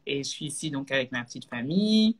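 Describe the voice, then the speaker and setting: A woman in her thirties from Belgium, a guest on a live video chat, talking casually with many pauses and restarts. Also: extremely low pitch, expressive, slight background noise. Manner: hesitant, many fillers and restarts. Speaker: guest, entrepreneur. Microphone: video call.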